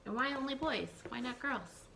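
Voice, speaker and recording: A woman teacher reading a picture book aloud to young children, expressive, thinking aloud and putting questions to her listeners. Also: a little high, moderate pace, gravelly, a little hiss or hum. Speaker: elementary school teacher.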